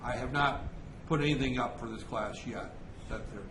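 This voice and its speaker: A man lecturing a class in a halting, self-correcting way. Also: mid-range voice. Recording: echoey classroom with background noise.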